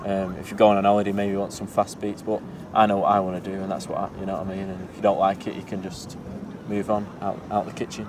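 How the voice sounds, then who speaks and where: A man's voice: low voice, flat delivery, quick pace, distant mic, noisy background. A young man, a student radio presenter talking casually in an easygoing, rambling way with lots of fillers. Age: young adult. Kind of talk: casual interview talk. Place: outdoors in a city.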